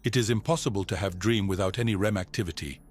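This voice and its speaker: An actor playing a measured, formal psychiatrist on a space expedition in an audio drama. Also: male voice, on the low side, natural voice. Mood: probing, concerned. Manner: calm, formal, clinical. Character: measured, clinical male psychiatrist on a Mars expedition. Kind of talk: audio drama log and session dialogue.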